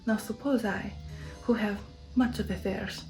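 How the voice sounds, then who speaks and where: A woman reader gives voice to an old aristocratic count from a gothic storybook, formal and insistent. The delivery is mellow and even, in a deliberate ASMR manner, with faint music underneath. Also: medium pitch, natural voice, rough recording. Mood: formal, persuasive. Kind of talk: ASMR audiobook character reading.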